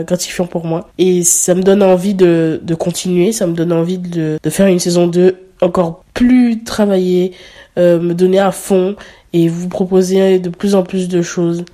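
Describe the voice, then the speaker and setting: A young woman hosting her own podcast, talking earnestly in a halting way with many fillers and restarts, a little stuffy from a slight cold. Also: on the low side, dark tone. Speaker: podcast host. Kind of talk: casual solo podcast talk.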